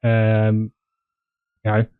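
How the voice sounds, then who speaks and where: A man's voice: on the low side, monotone, drawn-out words, clean tone, dry recording, narrow, tinny audio. Casual man, dry close sound. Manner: short agreeing replies, casual swearing. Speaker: roleplay sheriff. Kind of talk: in-character roleplay meeting talk.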